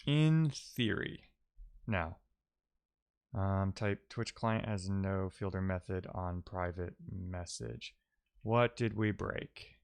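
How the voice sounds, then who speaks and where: A man's voice: deep voice, takes it slowly, creaky, clear, resonant tone. A man giving casual, think-aloud commentary as a live-coding streamer, often muttering to himself and trailing off.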